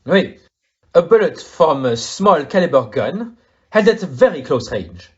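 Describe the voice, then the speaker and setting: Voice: mid-range voice, sing-song, a little slow, a little mellow, muffled recording. A performer in an audio play acting a fussy, courteous, astute male detective with a French accent and formal, exclamatory manner. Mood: analytical, precise.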